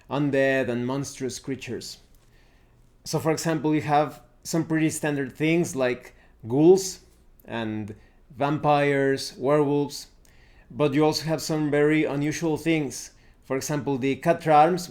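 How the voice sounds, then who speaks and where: A man presenting an unscripted game review, explaining things deliberately and often pausing mid-sentence. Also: mid-range voice, a little mellow. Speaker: tabletop RPG reviewer, presenter.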